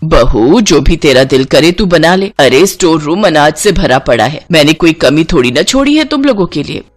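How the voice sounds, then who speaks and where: A woman's voice: low voice, very animated, normal speed, soft background music. A woman voicing a stingy, scolding older Indian mother-in-law in a bossy, nagging way for a story. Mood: dismissive.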